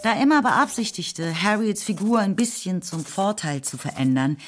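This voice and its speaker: A woman's voice: mid-range voice, animated, moderate pace. A woman giving scripted literary narration as the narrator of a radio drama. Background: faint music.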